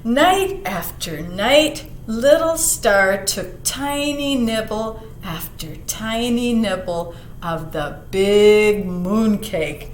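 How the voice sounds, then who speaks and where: A woman reading a picture book aloud to children, expressive, putting questions to her young listeners and dropping into hushed asides. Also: medium pitch, very slow, few pauses. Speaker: children's library storyteller. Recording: roomy echo, some background noise.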